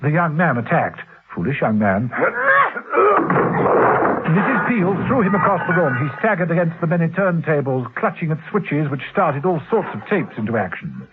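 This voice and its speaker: A man's voice: a little high, very animated, moderate pace, over loud music. A man narrating a classic radio spy drama in a theatrical, suspenseful and arch manner. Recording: muffled, husky-sounding old radio recording.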